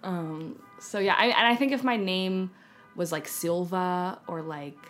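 A woman's voice: lowish voice, a little slow, a bit bright, soft background music. A young American woman talking casually as a podcast guest, giggly and telling stories with acted-out quotes. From United States (San Francisco). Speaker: podcast guest, filmmaker and actor. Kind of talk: casual conversation.

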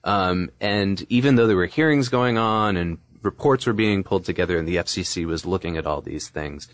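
Deep-voiced American man, podcast co-host. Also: a little fast, dry recording. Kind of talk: casual conversational explainer.

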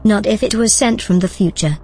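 Woman acting deadpan sci-fi station scientist, mid-pitched, precise and cool, faint music behind. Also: brisk, lightly acted. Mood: dry, composed. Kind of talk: science-fiction audio drama acting.

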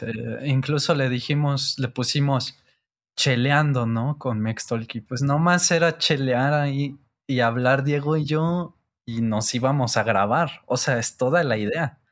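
A man's voice: medium pitch, measured pace. A man with a Mexican accent talking in a laid-back, slangy way as a guest in an interview. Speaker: guest, co-creator of a Spanish-learning channel. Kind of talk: casual interview chat.

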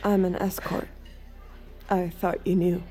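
Actress as cool, watchful woman with mellow voice. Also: on the low side, flat delivery, unhurried, faint music behind, close to an everyday voice. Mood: matter-of-fact. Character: cool, watchful, manipulative woman escort narrating her thoughts. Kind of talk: intimate scripted audio drama narration and dialogue.

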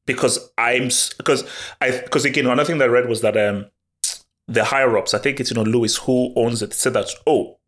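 Man, podcast co-host, casual football chat, animated, stumbles over words, mid pitch. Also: fairly quick. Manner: animated, repeats words when excited.

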